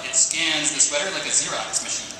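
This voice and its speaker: A man's voice: fairly high pitch, a little fast. A man reading stage directions as scripted narration at a table read. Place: large convention hall, audience present. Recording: distant, echoey hall with loud noise.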